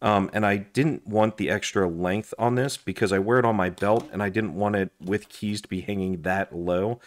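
A man who is hoarse and losing his voice, chatting casually with lots of fillers while he reviews his gear at a desk indoors. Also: lowish voice, fairly even intonation, moderate pace. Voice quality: raspy. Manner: chatty, rambling, with frequent fillers and restarts. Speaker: gear review channel host. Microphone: overhead camera on desk, hands only.

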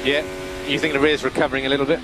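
A male race engineer talks over a team radio with clear noise and hum behind him. He is calm and methodical, giving short technical calls and repeating the important ones. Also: mid-range voice, racing. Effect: team radio transmission. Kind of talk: terse race radio instructions. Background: vehicle hum and noise.

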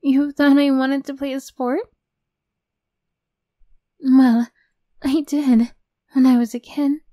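Woman voicing a high-pitched, sweet young girlfriend. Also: level tone, slow, dark tone, no echo, studio quality. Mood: affectionate, bubbly. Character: affectionate young girlfriend, sweet but tough-edged former bully. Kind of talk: ASMR roleplay acting.

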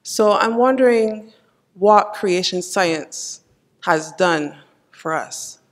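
A woman's voice: mid-range voice, lively intonation, slow pace. A woman with a Barbadian accent asking a lecturer a question from the floor of a large hall, pressing for specifics. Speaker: audience member asking a question.

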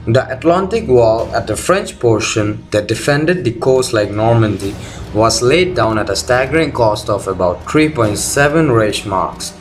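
A man reading scripted documentary narration as the host of a video. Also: mid-range voice. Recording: loud music under the voice. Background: loud background music.

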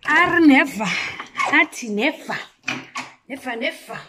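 A young woman from Eswatini with an Eswatini English accent, vlogging casually into her phone, swinging between near-whispers and loud exclamations. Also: high-pitched, lively intonation, a little slow, background noise. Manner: chatty, drops to near-whispers then bursts into loud exclamations. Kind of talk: casual vlog chat. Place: home indoors and cold street outdoors. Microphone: phone front camera at arm's length.

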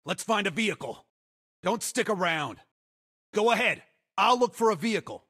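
An actor playing an excitable, slightly comic male soldier in a video game, delivering short callouts in a husky, theatrical voice. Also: high-pitched, normal speed, heavily acted. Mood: wry, confident.

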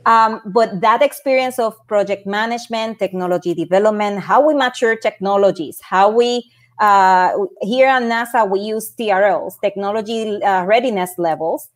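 A woman with a Puerto Rican Spanish accent speaks on a video call from a home office. Her voice sits at an ordinary pitch for a woman. She talks earnestly and keeps restarting and repeating words as she goes. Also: moderate pace. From Puerto Rico. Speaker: panel guest, space project manager. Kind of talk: personal career story on a panel.

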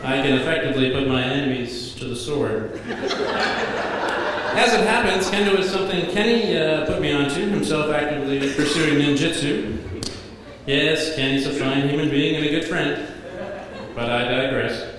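A man in his thirties giving a best man's toast at a wedding reception, telling comic stories in a dry, deadpan way at a podium microphone. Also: fairly high pitch, normal speed, crowd noise. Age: 30s. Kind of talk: wedding toast read from notes. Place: large banquet hall at a wedding reception. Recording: echoey banquet hall with reverb. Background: guests laughing.

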